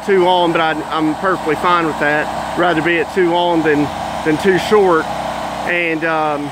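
A man's voice: on the high side, fairly even intonation, measured pace, very noisy. A man casually walking through his work trailer outdoors, talking with lots of fillers and restarts into a handheld camera. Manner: rambling, many fillers and restarts. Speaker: detailing business owner showing his trailer. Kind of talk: casual walkaround video. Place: outdoors on a gravel lot beside a trailer. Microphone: camera held by the speaker.

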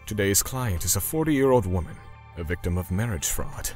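A male actor as a righteous, menacing torturer narrating a manga story. His low voice is grim and measured, with music playing behind it. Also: expressive, a little mellow. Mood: grim, matter-of-fact. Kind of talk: scripted manga dub narration.

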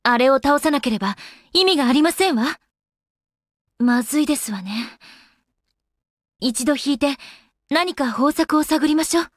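A woman's voice: high voice, lively intonation, moderate pace, pauses often, dry recording, clean studio sound. A woman playing an elegant, doting young goddess in an anime game, speaking in polite, ladylike phrases. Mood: serious. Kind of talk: Japanese anime game voice acting.

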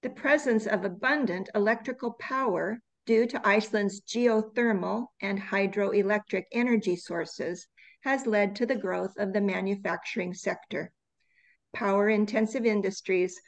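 A woman teaching an online English class over a video call, reading slides slowly and patiently correcting students. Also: medium pitch. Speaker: English tutor.

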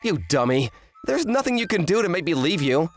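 Lady doing young anime boy, earnest n excitable, low boyish pitch, really animated, romcom dub. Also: a little fast, soft background music. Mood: delighted, tender. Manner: earnest, exclamatory. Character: earnest, kind teenage boy, excitable manga enthusiast. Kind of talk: anime-style romantic comedy dub.

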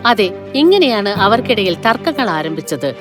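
A woman's voice: mid-range voice, sing-song, normal speed, over music. A woman narrator reading a scripted fairy tale for a children's cartoon.

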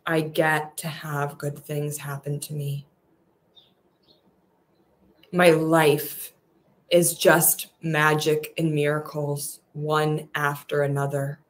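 Woman meditation guide: soft, soothing, repetitive affirmations, unhurried and even, low-set voice.

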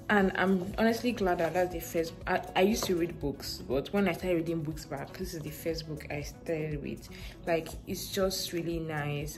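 A young woman with a Nigerian accent chatting casually to her phone camera as a vlogger, relaxed and rambling. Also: lowish voice. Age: young adult. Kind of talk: casual vlog chat to camera. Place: bedroom, in bed; also parked car. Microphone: phone held at arm's length. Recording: background music under the voice.

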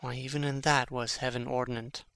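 An actor playing an intense, brooding young prince in a classical Shakespearean dramatic reading, with theatrical, emphatic phrasing. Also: male voice, medium pitch, little pitch movement, a little fast, a bit bright, clear, resonant tone, no echo, close to an everyday voice. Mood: wry, resolute.

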